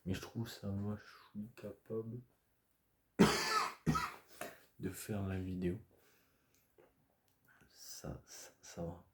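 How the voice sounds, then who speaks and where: A man talks casually to camera, hoarse and stuffy from a cold. His voice sits very low and he speaks slowly, stopping and restarting often. The chat wanders, full of fillers. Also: very noisy. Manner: hesitant, rambling, many fillers and restarts. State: hoarse, stuffy-nosed, coughing. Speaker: video creator.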